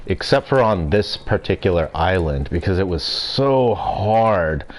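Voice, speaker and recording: A young man streaming a game and chatting casually, with a stuffy nose and throat and a rambling, relaxed way of talking. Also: deep voice, measured pace. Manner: relaxed, rambling, self-deprecating. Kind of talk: casual gameplay commentary. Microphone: desk mic.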